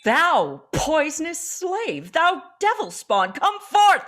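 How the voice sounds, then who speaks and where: A stern exiled sorcerer, acted by a woman declaims Shakespearean verse in a radio play. The delivery is imperious and measured, with long slow phrases and dramatic sweeps of pitch. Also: sing-song, fairly bright tone, full character voice. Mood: angry, threatening. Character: commanding, stern sorcerer, exiled noble parent and master.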